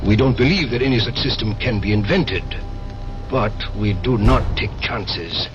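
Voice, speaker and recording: A man's voice: lowish voice, unhurried, lo-fi sound, music in the background, close to an everyday voice. An actor playing a cold, menacing male Russian secret police interrogator in a 1970s radio drama, clipped and threatening.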